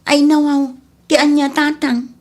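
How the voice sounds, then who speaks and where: A woman's voice: sits high, level tone, measured pace. An actress playing a lovesick, troubled young woman in a radio drama, whiny and emotional in manner. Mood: meek, weary. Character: lovesick, distressed young woman quarrelling and confiding.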